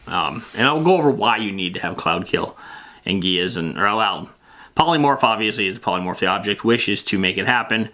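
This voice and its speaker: Bright-voiced man, casual rambling explainer. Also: on the low side, expressive, fairly quick. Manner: rambling, plain-spoken, matter-of-fact. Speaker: host of a tabletop roleplaying lore show. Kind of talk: casual, loosely improvised explainer.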